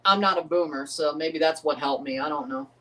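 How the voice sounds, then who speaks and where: A man's voice: on the high side, fairly quick, pushed and bright, far from the mic. Man, livestream host, rambling, swearing, unsure of himself, set back from the mic. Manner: rambling, profane, second-guessing himself. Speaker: livestream host reacting to a match. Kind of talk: casual livestream reaction chat.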